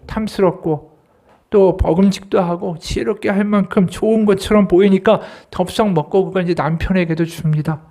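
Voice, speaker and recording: High-voiced male pastor preaching. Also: darkish tone. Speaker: associate pastor. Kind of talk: Sunday sermon.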